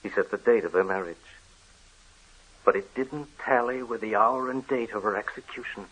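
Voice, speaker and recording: An actor playing a brooding man who tells a grim family legend in measured, reflective 1940s radio drama narration. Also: on the low side, a bit bright, slightly rough, low-quality recording.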